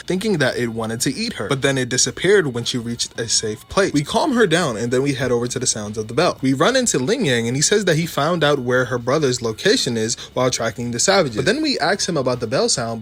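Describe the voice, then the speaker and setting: Husky man narrating a game recap. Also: mid-range voice, fairly bright tone. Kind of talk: plain spoken story recap.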